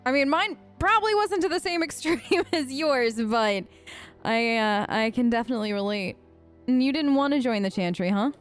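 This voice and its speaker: A woman's voice: on the high side, animated, normal speed, soft background music. A woman role-playing in a video game let's play, casual and wry with a hesitant, improvised delivery.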